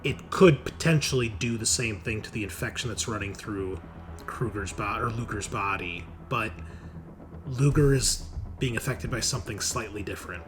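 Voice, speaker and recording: A man running a tabletop horror game as game master, improvising narration in a halting, conversational way and thinking aloud. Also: on the low side, over music. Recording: noticeable background noise.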